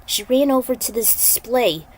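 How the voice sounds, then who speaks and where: A woman's voice: a little high, lively intonation, moderate pace, low-quality recording. A woman narrating a piece of fan fiction, reading the prose aloud as a dramatic story.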